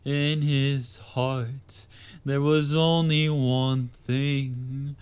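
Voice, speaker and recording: A man softly singing a slow, solemn lullaby that tells a story like a ballad. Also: medium pitch, little pitch movement, clear, resonant tone.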